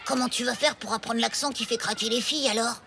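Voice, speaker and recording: A woman's voice: a little high, fairly even intonation, fast, vocal fry, a bit bright, soft background music. A woman voicing a blunt, teasing schoolboy friend in a cartoon. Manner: teasing, matter-of-fact.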